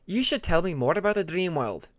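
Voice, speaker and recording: A man's voice: sits high, sing-song, a little fast, dry recording, clean studio sound, voice pushed up. A man voicing an inquisitive young female dragon, pushy and questioning, in a fantasy audiobook. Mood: curious, pressing. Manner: questioning, insistent.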